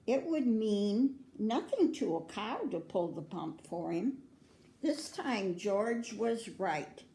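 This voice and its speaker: An older woman with an American accent reads a picture book aloud to children in a grandmotherly way, pausing to put questions to her young listeners. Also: mid-range voice, warm tone. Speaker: children's story reader. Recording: roomy, echoing indoor sound.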